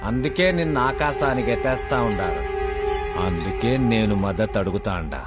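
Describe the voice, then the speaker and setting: Actor as menacing rebel politician, loud score behind. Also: male voice, on the low side, normal speed, lightly acted. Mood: coolly menacing. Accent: Rayalaseema Telugu. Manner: threatening, commanding. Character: menacing male rebel politician, bullying, cold and domineering. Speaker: actor in a film. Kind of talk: Telugu mass-film villain acting. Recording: film dialogue under loud music.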